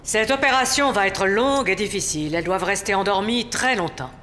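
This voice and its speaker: A woman's voice: mid-range voice, brisk, a bit bright, slight background noise, close to an everyday voice. A woman playing a bossy animal surgeon in a children's cartoon, exacting and assertive. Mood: businesslike. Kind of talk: children's cartoon dubbing in French.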